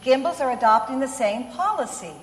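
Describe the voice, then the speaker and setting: High-voiced, poised career woman. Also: animated, fairly quick. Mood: pleased. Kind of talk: old-time radio drama acting. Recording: echoey hall, faint noise.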